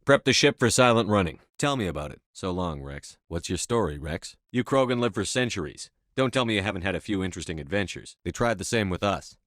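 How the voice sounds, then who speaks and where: Video game military commander: low-pitched man, dry studio sound, resolute, measured, quietly authoritative. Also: lively intonation, no room sound. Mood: firm, commanding, then conversational. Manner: measured, firm, clipped military delivery. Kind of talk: scripted video game dialogue.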